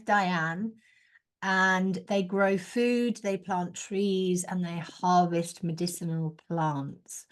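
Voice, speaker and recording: A woman hosting a podcast, who speaks softly and interviews a guest. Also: mid-range voice. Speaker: podcast host.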